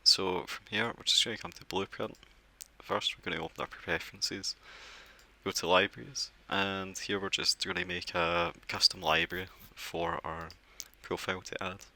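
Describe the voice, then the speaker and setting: A man giving a relaxed step-by-step software setup walkthrough, explaining as he clicks through with occasional fillers. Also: sits low, normal speed, gravelly. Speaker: software tutorial presenter. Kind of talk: screen-recorded software walkthrough.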